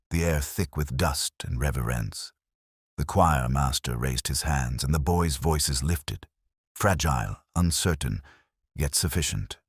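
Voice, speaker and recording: A man performs a melancholy male detective looking back on a case in scripted first-person narration. His voice is extremely deep, yet it moves expressively up and down. The delivery is measured, solemn and contemplative, in a dry, close sound without room echo. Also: breathy edge, heavily acted. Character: weary, melancholy male English detective narrator, reflective and literary.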